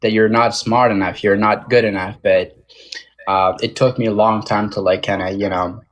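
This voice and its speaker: A young man with a somewhat low voice talks in a halting, casual way. He restarts often and leans on fillers as a podcast guest. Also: fairly even intonation, fairly bright tone. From Tajik American, born in Moscow. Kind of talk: casual interview talk.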